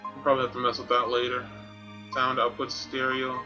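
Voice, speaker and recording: A man's voice: medium pitch, completely flat delivery, fairly quick, highs cut off. A man giving casual video game stream commentary, muttering to himself in an offhand way. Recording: game music behind the voice. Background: game music.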